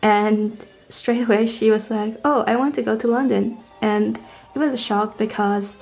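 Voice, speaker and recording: Young woman from poland vlogging, english, chatty and informal, relaxed mellow voice, bit of music behind. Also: fairly high pitch, normal speed, creaky, poor audio. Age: young adult. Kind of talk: casual storytelling to camera.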